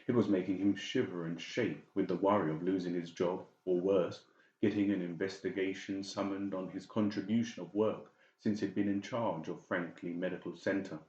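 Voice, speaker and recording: A man's voice: deep voice, steady tone, normal speed, darkish tone, roomy sound, dull audio. A man reading scripted, dramatic narration as an audiobook narrator.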